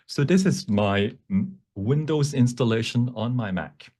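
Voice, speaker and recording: A man teaching a live software demonstration, explaining step by step in a halting, self-repeating way and switching between two languages. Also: medium pitch. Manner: bilingual, switches between English and Cantonese. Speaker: workshop presenter, instructor.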